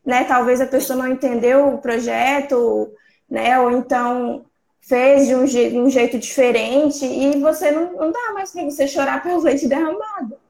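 High, gravelly woman in a roomy room. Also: normal speed. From Espírito Santo, Brazil. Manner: earnest, conversational, steady tag questions. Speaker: architect, interview guest. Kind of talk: casual explanatory talk on a live stream. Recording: roomy echo.

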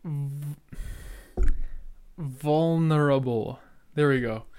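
A man's voice: a little high, drawn-out words, darkish tone, clean tone. A young man who stutters, talking casually and earnestly as he reacts to his own old podcast episode. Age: young adult. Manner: earnest, rambling, filler-heavy.

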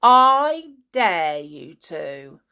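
A woman puts on a big, cocky boy from a storybook. He jeers slowly and scornfully, stretching his taunts into a mocking sing-song. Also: a little high, very animated, fairly bright tone, pitched above the performer's own voice, exaggerated voice. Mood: mocking, daring. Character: cocky, taunting older boy from a rival village.

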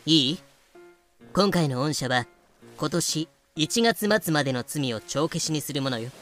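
A man's voice: fairly high pitch, lively intonation, unhurried, fairly bright tone, soft background music. A man voicing a bold, easygoing, scheming female pirate captain with breezy, self-assured feminine phrasing in a fan audio drama. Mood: calm, insistent.

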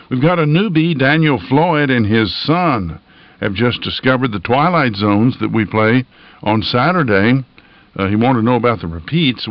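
A man's voice: lowish voice, no room sound. A folksy, earnest man hosting a radio station, reading informal announcements and listener notes in a plain-spoken way. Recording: dull, band-limited lo-fi audio.

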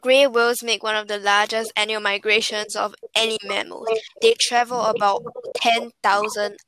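A woman co-hosting a podcast, reading facts aloud in a halting way and tripping over words. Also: medium pitch, level tone, a bit bright, poor audio. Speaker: co-host.

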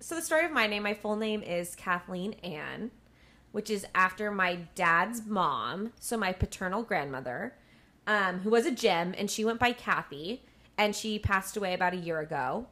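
A woman in her mid twenties co-hosting a casual podcast chat, relaxed and playful, laughing easily. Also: mid-range voice, normal speed. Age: mid 20s. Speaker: podcast co-host.

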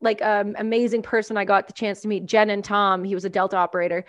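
A woman's voice: medium pitch, level tone, fast talker, muffled recording. A woman from Canada, hosting a casual podcast chat, who talks bluntly, swears freely and rants. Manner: blunt, profane, ranting.

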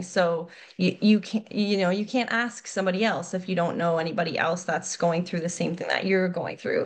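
Earnest woman explaining. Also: fairly low pitch. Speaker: lactation consultant guest. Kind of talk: casual podcast conversation.

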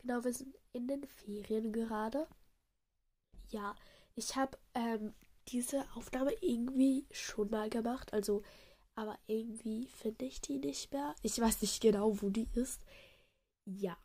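A woman's voice: on the high side, steady tone, measured pace, warm tone. A young woman with a cold, chatting casually and rambling with many fillers and self-corrections. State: has a cold. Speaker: podcaster. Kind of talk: casual chat. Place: outdoors in cold wind.

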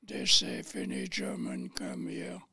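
Really old french guy in his 90s, gravelly cracked voice, talks slow, telling stories from his life. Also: a bass voice, little pitch movement, bright, forceful tone, no room sound, clean studio sound. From Paris, France. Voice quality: raspy, thin, breathy. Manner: plain, halting storytelling in non-native English. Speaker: interview guest, war veteran. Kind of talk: recounting personal memories in interview.

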